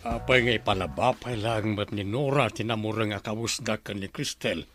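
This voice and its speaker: A man's voice: fairly low pitch, normal speed, a bit bright, soft background music, close to an everyday voice. A man with an Ilocano accent playing a grumbling older father in a radio-style drama.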